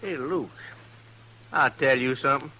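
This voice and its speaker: Old radio western hillbilly, folksy and sly, bright sharp voice, rural american twang. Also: male voice, mid-range voice, unhurried, slightly rough, exaggerated voice, poor audio. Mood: folksy, sociable. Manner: folksy, rustic backwoods talk. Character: cornered grumbling hillbilly man, sly and sociable, hard-drinking.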